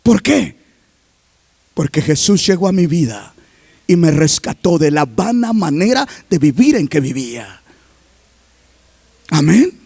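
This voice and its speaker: A man preaching a sermon as pastor, reading scripture aloud and constantly asking the congregation questions, rising to emphatic shouts. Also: on the high side, sing-song, measured pace, vocal fry, pauses often, slightly rough, no room sound. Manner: reads scripture aloud between remarks.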